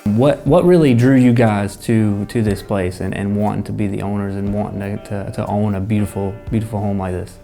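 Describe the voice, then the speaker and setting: Casting: male interviewer, even and mellow delivery, hesitant phrasing, faint guitar bed. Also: lowish voice, fairly quick, gravelly, hardly any pauses. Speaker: interviewer, paranormal investigator. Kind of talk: informal interview questions.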